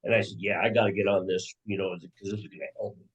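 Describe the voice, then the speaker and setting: A man's voice: deep voice, flat delivery, quick pace, breathy edge, recorded from a distance, low-quality recording. An older man speaking hesitantly as he searches for words, a participant asking a question on a group call.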